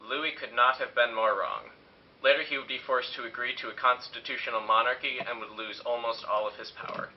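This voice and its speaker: A man's voice: mid-range voice, steady tone, a little fast. A man reading scripted history narration as the narrator of an amateur show. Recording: echoey, muffled, noisy, from far off.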